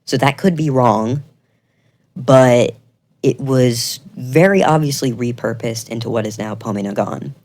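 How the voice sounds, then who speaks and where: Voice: mid-range voice, measured pace, darkish tone. Emphatic commentator with a mid-range voice. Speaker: video creator, commentator. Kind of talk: commentary video, semi-scripted narration.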